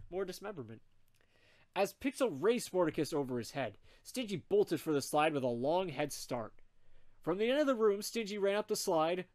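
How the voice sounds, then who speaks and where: A man narrating fan fiction in an amateur comedic group reading, animated and dropping wry asides. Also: sits high.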